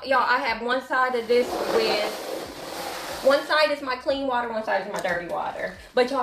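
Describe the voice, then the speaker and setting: A woman's voice: fairly high pitch, fairly bright tone. A woman, chatting to her viewers while she cleans at home, easygoing and gossipy, calling them y'all. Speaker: vlogger. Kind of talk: casual chat while cleaning. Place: home living room with hardwood floor. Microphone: camera set up across the room. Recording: echoey room.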